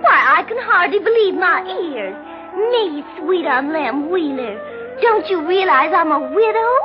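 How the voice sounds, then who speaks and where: Southern young widow, coy and genteel, slow drawn-out delivery, dull vintage radio sound. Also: female voice, very high-pitched, expressive. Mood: coy indignation. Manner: coy, genteel, theatrically indignant. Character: sweet, fussy, girlish young Southern widow who killed. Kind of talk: 1960s radio drama acting. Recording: dull vintage 1960s radio recording, music.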